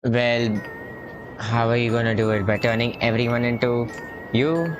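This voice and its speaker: A man casually reacting to a story game, with a relaxed, wry and drawling manner. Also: mid-range voice, normal speed, noisy background. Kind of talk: casual live reaction commentary. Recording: voice over loud game music.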